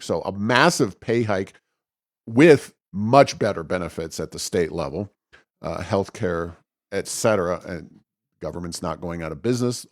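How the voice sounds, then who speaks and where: A man's voice: lowish voice, dry recording, clean studio sound. A man co-hosting a conversational political news show, opinionated and critical, feeling his way through explanations with self-corrections. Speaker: podcast co-host.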